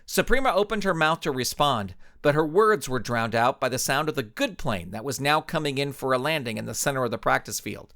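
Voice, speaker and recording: Animated male storyteller. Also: mid-range voice. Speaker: author reading own story. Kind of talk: animated scripted audiobook narration.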